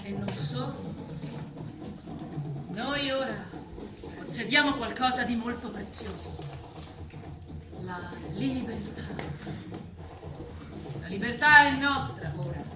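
A woman's voice: on the high side, slow pace, long pauses, noisy background. A woman playing a commanding, persuasive female farm animal in a theatrical radio play, speaking with emphatic oratory. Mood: persuasive, solemn. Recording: roomy sound with loud music.

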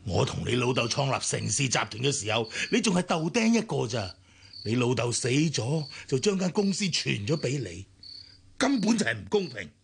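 An actor playing a scheming middle-aged villain, mocking and gloating in a husky, gravelly voice, in a Hong Kong Cantonese radio drama. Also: male voice, mid-range voice, sing-song, a little hiss or hum. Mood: menacing. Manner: drawn-out, mocking delivery. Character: scheming older male villain, gloating and ruthless.

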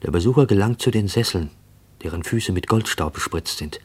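A man narrating a radio drama in a deliberate, literary manner. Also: low voice, animated, darkish tone, husky. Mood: quiet, observant.

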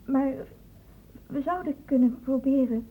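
An actress playing an anxious young college student in a radio play, speaking haltingly and timidly as she confides. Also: high-pitched. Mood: hesitant confiding. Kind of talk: Dutch radio drama acting. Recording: muffled, narrow-band old radio recording, noisy.

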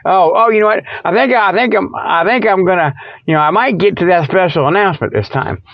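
A man with a slightly high voice rambles through a comedic radio monologue in a dry, close sound. He is folksy and digressive, stammering and chuckling at his own jokes. The recording is dull and lo-fi. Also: fairly quick, dry recording. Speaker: radio show host.

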